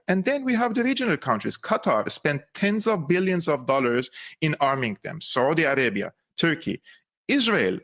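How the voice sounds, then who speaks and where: A man's voice: fairly high pitch, fairly bright tone. A man with a Syrian accent, a guest in a political interview, speaking earnestly and emphatically with frequent restarts. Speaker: guest commentator.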